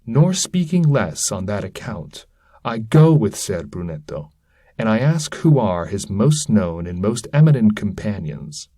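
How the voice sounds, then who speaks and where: A man reading epic poetry aloud in a measured, solemn, literary manner. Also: fairly low pitch, sing-song, mellow, no room sound, clean studio sound. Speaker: reader.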